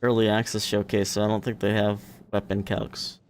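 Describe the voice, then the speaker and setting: A man's voice: lowish voice, little pitch movement, moderate pace, clear, resonant tone. A man giving casual livestream game commentary, informal and self-deprecating as he thinks aloud. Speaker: gaming livestreamer. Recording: music playing behind the voice. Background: music.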